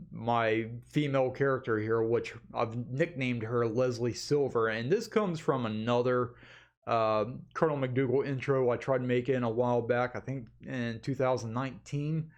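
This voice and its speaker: A man hosting a casual update vlog, talking informally and in a rambling way to his viewers as an animator. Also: mid-range voice. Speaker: animator and channel host.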